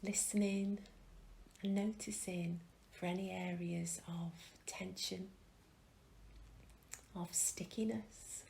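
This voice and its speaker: A woman leading a guided mindfulness meditation, speaking calmly and gently with long pauses and soft, breathy phrase endings. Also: fairly low pitch, rough recording. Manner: calm, gentle, unhurried with pauses. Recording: faint background noise.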